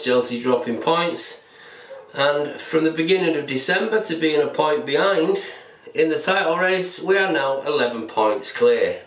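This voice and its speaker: A bearded man in his forties sits at a table in a small room and chats to camera about football in a plain-spoken, easygoing way. The room is echoey, and he sounds a little distant from the mic. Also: medium pitch. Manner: chatty, plain-spoken, self-described pessimist. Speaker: football fan vlogger. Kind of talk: casual solo commentary to camera. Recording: roomy echo, mic set back.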